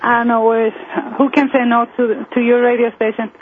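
A woman from Iceland, a guest in a phone-in interview, speaking haltingly and searching for her words. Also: on the high side, flat delivery, slight background noise. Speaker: guest, member of parliament. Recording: tinny phone line.

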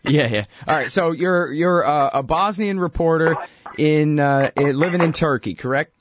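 A man hosting a talk show, chatting casually with guests in a loose, friendly way, with quick apologetic asides. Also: a little high, a little hiss or hum. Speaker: radio show host. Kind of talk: casual interview chat.

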